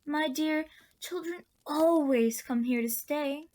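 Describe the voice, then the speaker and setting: A woman playing a kindly old woman of a magical land in a radio drama, speaking with gentle, genteel endearments. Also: high voice, takes it slowly. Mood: gentle, wistful.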